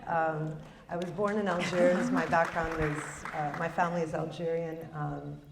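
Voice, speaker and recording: A woman's voice: sits low, flat delivery, normal speed, creaky, a crowd in the background. A woman filmmaker from Chicago, speaking reflectively with many fillers on a conference panel. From Chicago, United States. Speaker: filmmaker and former public radio journalist. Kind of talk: panel discussion. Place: conference hall. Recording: roomy hall, mic far off, faint noise.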